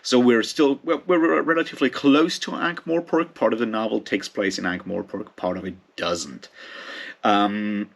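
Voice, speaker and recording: A man's voice: on the low side, moderate pace, gravelly. A man giving a casual, unscripted book review as a host, speaking informally in rambling, hesitant phrases.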